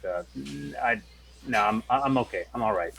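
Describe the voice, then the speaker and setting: A man's voice: lowish voice, moderate pace, a bit bright, slight background noise. A man playing a tabletop role-playing game, chatting casually about rules and speaking for his character.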